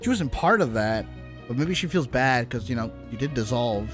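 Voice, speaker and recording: A man's voice: mid-range voice, expressive, moderate pace, a bit bright, faint music behind. A man livestreaming a game, giving casual, informal commentary to his chat with loose, reactive asides.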